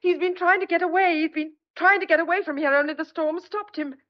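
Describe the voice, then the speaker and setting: A woman's voice: very high voice, steady tone, a little fast, muffled recording. An actress playing an anxious, stubborn young country woman in a thriller audio drama, speaking earnestly and insistently. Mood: grim, insistent. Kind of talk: old-fashioned thriller audio drama acting.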